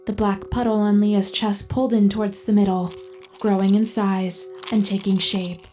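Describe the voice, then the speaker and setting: A woman playing a composed, analytical AI companion who narrates an audio drama in formal, precise, measured speech. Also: medium pitch, little pitch movement, mellow, soft background music, close to an everyday voice. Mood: calm, analytical. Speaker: narrator and in-character host.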